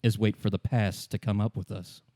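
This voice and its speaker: A man narrating as game master, explaining fictional lore in a measured, solemn and deliberate storytelling manner. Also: on the low side, level tone, dark tone, no room sound, clean studio sound. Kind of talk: improvised lore exposition in a tabletop game.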